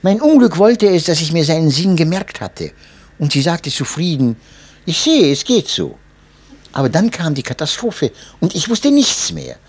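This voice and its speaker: Husky male memoir narrator. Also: on the high side, lively intonation, moderate pace, darkish tone. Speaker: audiobook narrator.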